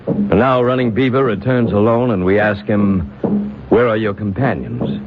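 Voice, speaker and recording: A man acting a deep, solemn council leader. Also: normal speed, natural voice. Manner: formal, declamatory. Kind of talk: old-time radio drama acting. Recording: narrow, phone-like old radio recording, music.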